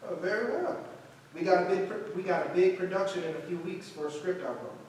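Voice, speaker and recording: A man plays an encouraging, composed director of a 1950s Harlem theater in a staged drama. His voice is high for a man, heard from far off in a very echoey space. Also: fairly quick, darkish tone, close to an everyday voice. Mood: proud, teasing. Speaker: stage actor. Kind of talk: staged play reading. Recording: roomy far-off mic, faint noise.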